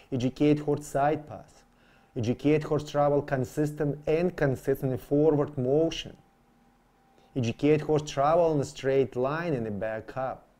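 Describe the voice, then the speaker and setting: A man with a soft-edged, mellow voice teaches horse training in English that is not his first language. His grammar is non-native, and he repeats important terms for emphasis as he explains his method step by step. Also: mid-range voice. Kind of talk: instructional explanation.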